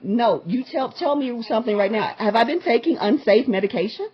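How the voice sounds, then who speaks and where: A woman's voice: a little high, expressive, brisk. A woman speaking as a patient on a phone call, pressing her questions in an insistent, demanding, confrontational way and often repeating them. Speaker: patient calling a medical provider. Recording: muffled lo-fi phone call recording.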